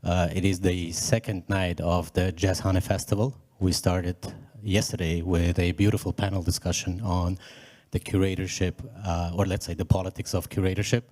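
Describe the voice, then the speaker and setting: Man, very low voice, festival host, formal welcome. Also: level tone, moderate pace, darkish tone. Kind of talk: event introduction.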